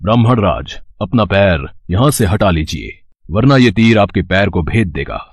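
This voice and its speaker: Deep and dry-sounding, this is a solemn, dutiful young warrior prince acted by a man in a devotional storybook drama. He declaims formally and earnestly, with lively rises and falls. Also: moderate pace, slightly rough, no echo, exaggerated voice. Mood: respectful, firm. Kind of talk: scripted mythological storytelling drama.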